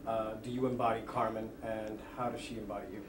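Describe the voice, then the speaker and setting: A man asking informal interview questions, halting and stumbling as he words them. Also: on the low side, flat delivery, background noise. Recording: echoey room, distant mic, loud ambience.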